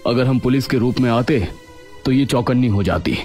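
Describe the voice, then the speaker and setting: A man's voice: medium pitch, normal speed, darkish tone, soft background music, close to an everyday voice. A man playing a resolute, commanding undercover police inspector in a story. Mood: firm.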